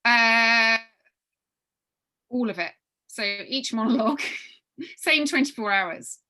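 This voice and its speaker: Woman workshop leader, bright forceful voice, thinks aloud and repeats phrases for emphasis. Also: a little high, lively intonation, slow, low-quality recording. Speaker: workshop leader briefing young writers. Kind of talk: informal spoken briefing with question and answer.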